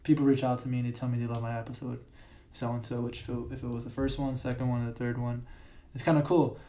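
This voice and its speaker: A young man hosting his own podcast, his voice raspy today, talking casually with many fillers and restarts, recorded in a big room. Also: medium pitch, flat delivery, fast, mellow. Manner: rambling, filler-heavy, self-correcting. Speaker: podcast host. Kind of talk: casual solo podcast talk. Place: large echoey room. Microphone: podcast mic.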